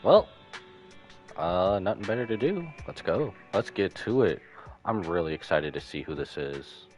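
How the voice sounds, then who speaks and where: Male game streamer: very deep voice, animated intonation, casual musing reactions, faint music behind. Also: a little slow. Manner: musing aloud in short remarks. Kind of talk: casual gaming stream commentary.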